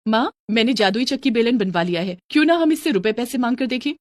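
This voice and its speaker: A woman's voice: on the high side, expressive, fast talker, no room sound, clean studio sound, pitched above the performer's own voice, lightly acted. Woman playing a meek, earnest young daughter-in-law. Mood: happy, hopeful. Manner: pleading, earnest.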